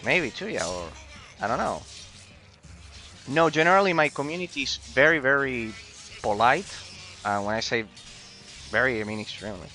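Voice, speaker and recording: Bright-voiced Argentine man streaming. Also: medium pitch, lively intonation, normal speed, pauses often. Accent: Argentine Spanish. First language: Spanish. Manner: repeats words and phrases emphatically. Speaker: game streamer. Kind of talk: casual live-stream chat with viewers. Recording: faint game music behind voice. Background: faint music.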